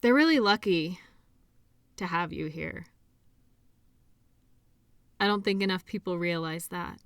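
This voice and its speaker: A woman playing a caring, shy doctor in an intimate audio roleplay, talking softly and hesitantly in a conversational way. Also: fairly low pitch, a little slow, clean tone, no echo. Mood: sincere, admiring. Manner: soft, hesitant, giggly, conversational.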